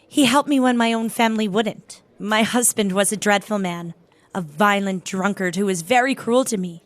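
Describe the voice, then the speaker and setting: A woman's voice: mid-range voice, moderate pace, no echo, natural voice. A woman playing a guarded, dignified lady in an audio drama, speaking in a composed, formal and reserved way. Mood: earnest. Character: guarded, curt, dignified divorced working woman.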